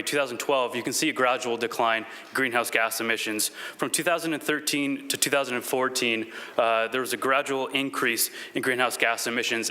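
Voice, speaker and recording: A man giving a formal presentation to a city council as a staff coordinator, polite and a little halting. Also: medium pitch, pushed and bright.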